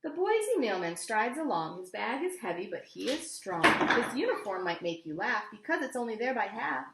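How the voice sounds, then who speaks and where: A woman reading a picture book aloud like a teacher, with expressive, deliberate storytelling and simple explanations for children. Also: a little high, vocal fry, echoey room, very noisy.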